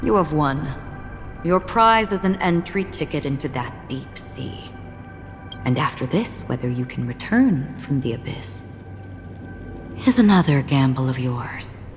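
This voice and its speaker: A woman's voice: low-pitched, animated, unhurried. Stoic warrior woman from a game, grave and formal, echoing reverb, music behind her. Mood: solemn. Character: solemn, stoic female swordswoman, cryptic and philosophical. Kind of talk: English video game cutscene acting.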